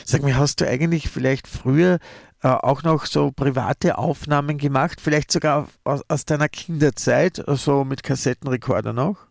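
Gravelly interviewer man, stammering restarts. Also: mid-range voice, moderate pace, no room sound, clean studio sound. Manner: warm praise, stammering restarts. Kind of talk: casual interview talk.

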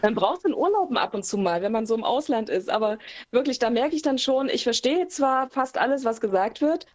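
A woman who writes and sings her own songs, a native German speaker, answering interview questions in a relaxed, thoughtful way with frequent fillers, heard over a remote call line. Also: on the high side, a little fast, lo-fi sound. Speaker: singer-songwriter guest. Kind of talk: casual interview talk.

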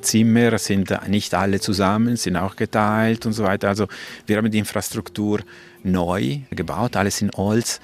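Middle aged swiss italian guy, low voice, chatting in an interview, second language so a bit halting. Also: steady tone. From Ticino, Italian-speaking Switzerland. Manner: halting, non-native German grammar. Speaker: local hospitality host being interviewed. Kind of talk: informal interview answers.

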